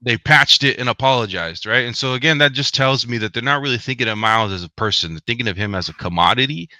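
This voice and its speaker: A man's voice: mid-range voice, quick pace, no room sound. A man whose first language is Spanish, answering interview questions earnestly and often tagging his points with "right?". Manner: earnest, animated, frequent "right?" tags. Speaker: guest author. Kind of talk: podcast interview.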